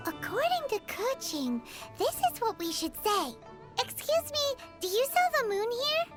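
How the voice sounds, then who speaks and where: A woman voicing a tiny, cheerful, excitable female fairy companion in an anime video game, with a squeaky childlike voice and bubbly exclamations. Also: measured pace, exaggerated voice. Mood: excited, cheerful. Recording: music playing behind the voice.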